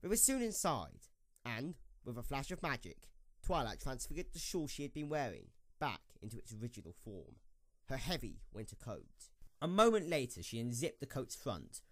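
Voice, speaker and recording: A man's voice: medium pitch, very animated, normal speed. A man narrating a scripted dramatic story as the narrator of an audio drama.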